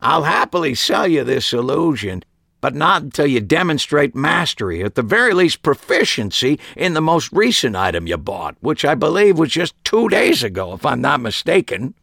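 A man's voice: fairly high pitch, sing-song, moderate pace, creaky, fairly bright tone, no room sound, clean studio sound, voice pushed up, full character voice. A man voicing an exasperated elderly male magic shop owner in an audiobook. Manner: exasperated, emphatic.

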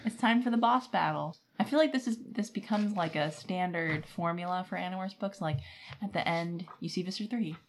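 A woman's voice: fairly low pitch, a little fast, a little hiss or hum. A woman co-hosting a podcast, chatting casually about books with wry, informal commentary. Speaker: podcast co-host. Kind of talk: casual book discussion.

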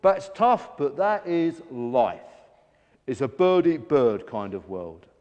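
A man's voice: medium pitch, big pitch swings, slow pace, a little mellow, big-room sound, highs cut off, pitched below the performer's own voice. A man voicing a big, greedy gannet for a children's story, screeching defiantly and refusing to share. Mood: brash, stubborn. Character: greedy bullying gannet, big, brash and unrepentant.

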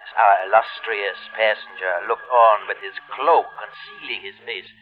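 A man playing a doomed German submarine lieutenant in an old-time radio drama, speaking gravely and deliberately with a German accent. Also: lowish voice, fairly even intonation, natural voice. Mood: tense narration. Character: doomed German U-boat lieutenant telling his last story. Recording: muffled vintage radio broadcast, music behind.

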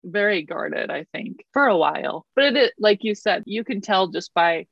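A woman's voice: medium pitch, expressive, moderate pace, vocal fry, a bit bright, husky, no room sound, muffled recording. A woman in her thirties from Canada, chatting as an interview guest with a self-deprecating, laughing manner. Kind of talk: casual interview chat.

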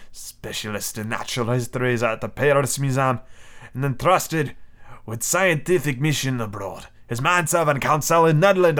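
A man's voice: medium pitch, fairly bright tone. Man doing an aloof commander, shifting foreign accent. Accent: shifting, inconsistent foreign accent. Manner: deliberate, formal, measured. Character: worldly, aloof male submarine commander, calm but wronged. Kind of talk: audiobook character voice.

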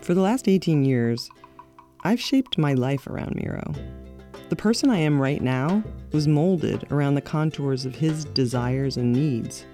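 A woman's voice: low voice, animated, dark tone, faint music behind. Reflective middle-aged woman narrating. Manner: reflective, measured and candid. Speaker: podcast host. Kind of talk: scripted personal narration.

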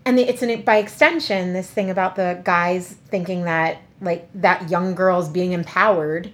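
A woman co-hosting a podcast in casual chat, animated, swearing and mocking people in quoted voices. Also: on the low side, a little slow. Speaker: podcast co-host.

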